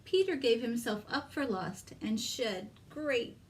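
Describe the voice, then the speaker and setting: A woman's voice: a little high, lively intonation, takes it slowly, slight background noise. A woman hosting a children's storytime as a librarian, reading expressively and addressing young listeners with questions and exclamations.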